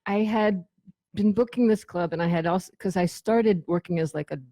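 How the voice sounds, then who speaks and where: A woman's voice: medium pitch, moderate pace, warm tone, no echo. A middle-aged woman chatting casually as a guest, telling anecdotes in an informal, sweary way and acting out bits of dialogue. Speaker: guest, former band tour manager.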